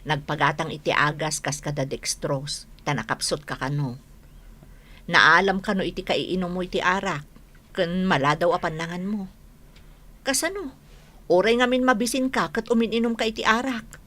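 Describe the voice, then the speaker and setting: A woman's voice: fairly low pitch, moderate pace, fairly bright tone. A woman in a radio comedy drama playing a worried, exasperated wife who scolds her drinking husband. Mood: scolding.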